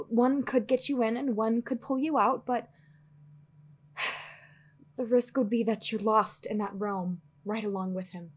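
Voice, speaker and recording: A woman playing a weary, guarded sorceress in an audio roleplay, earnest and anxious, with a breathy, husky voice and hesitant, sighing phrasing. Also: medium pitch, darkish tone, natural voice. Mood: tense, reluctant. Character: weary guarded female sorceress, anxious, fiercely logical, secretly lonely. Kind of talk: dramatic audio roleplay acting.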